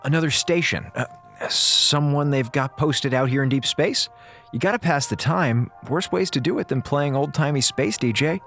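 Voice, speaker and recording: A man's voice: a little high, normal speed, a bit bright, soft background music. An actor playing a laid-back, wisecracking male communications officer in a space audio drama, talking colloquially and hesitantly. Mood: skeptical.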